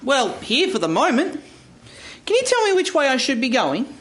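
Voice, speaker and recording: A woman's voice: high voice, huge pitch swings, moderate pace. A woman playing a meek, doubtful and dutiful little man in a radio play, with a dry, hesitant manner. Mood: polite, doubtful. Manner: dry, hesitant, long-suffering. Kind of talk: live radio theatre. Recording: noisy live radio studio recording.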